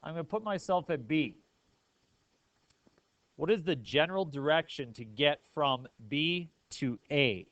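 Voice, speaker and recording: A man's voice: a little high, animated, unhurried, long pauses, fairly bright tone, no room sound, lo-fi sound. A man teaching a math class step by step, often checking understanding with questions. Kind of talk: classroom lesson, explaining step by step.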